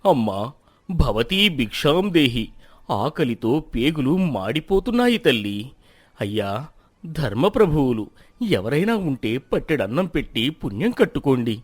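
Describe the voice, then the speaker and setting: A man's voice: mid-range voice, big pitch swings, a little slow, husky. A man playing a starving, pious old beggar who calls out pleadingly for alms in a moral story. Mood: pleading, hungry.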